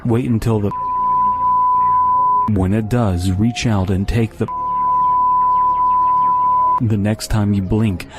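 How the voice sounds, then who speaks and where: A man reading a scripted horror story in a measured, solemn, deliberate way. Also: lowish voice, fairly even intonation. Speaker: story narrator. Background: loud music.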